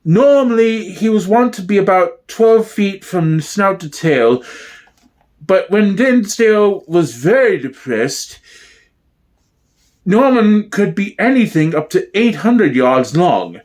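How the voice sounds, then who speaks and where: Sketch role: male performer as genteel drag artiste, precise and pompous, highish voice with lively swoops. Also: takes it slowly, heavily acted. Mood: grave, proper. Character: genteel, pompous female impersonator, gangster's admiring companion. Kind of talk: comedy sketch dramatic reading.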